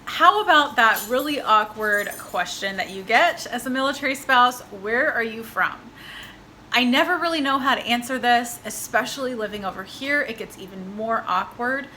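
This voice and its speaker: A woman's voice: fairly high pitch, expressive, normal speed, pushed and bright, slight background noise. A young woman from Texas, chatting upbeat in a casual vlog introduction. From Texas, USA. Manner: upbeat and chatty, with light laughs. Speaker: vlogger, military spouse.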